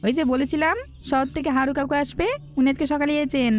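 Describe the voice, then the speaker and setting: A woman's voice: high-pitched, fairly even intonation, fast, faint music behind, lightly acted. A woman playing a poor, humble young orphan lad in a cartoon folktale, earnest and deferential. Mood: hurried.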